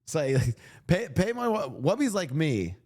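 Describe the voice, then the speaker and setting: Male streamer, casual rambling commentary, fairly high voice with a lively, bouncing delivery. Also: unhurried. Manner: rambling, laughing, joking asides.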